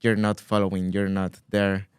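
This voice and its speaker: A man in his twenties from Colombia, raised in Spain, whose first language is Spanish, talking as a podcast guest and pausing and restarting as he looks for words. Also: low-pitched, completely flat delivery, smooth, dry recording, clean studio sound. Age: 20s. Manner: hesitant, restarts when searching for words. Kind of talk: casual interview.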